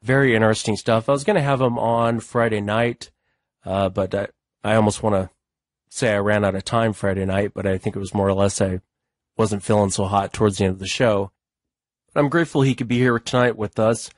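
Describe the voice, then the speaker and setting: A hoarse man hosting a talk show, with an easygoing manner and a habit of thanking guests, recorded dry on a studio mic. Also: on the low side, fairly even intonation, fairly quick, dry recording. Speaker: podcast host.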